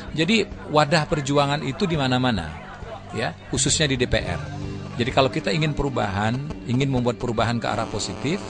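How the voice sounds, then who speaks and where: A man speaks as a panel guest in an earnest, explanatory style. His voice is on the low side, with music clearly audible behind him.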